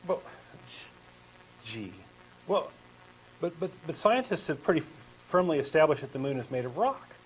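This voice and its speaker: A man's voice: fairly high pitch, sing-song, moderate pace, pauses often, slight background noise, poor audio. A man with a Texan accent reading a comic dialogue as a hesitant, skeptical questioner who stammers. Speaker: co-host doing a comic dramatic reading.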